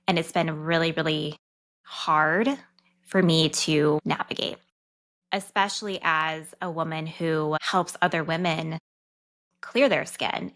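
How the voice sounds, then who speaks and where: A woman's voice: sits low, fairly bright tone. A woman in her thirties hosting a podcast, talking candidly and casually about a personal experience. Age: 30s. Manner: candid, chatty, fast-talking. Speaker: podcast host and dietitian.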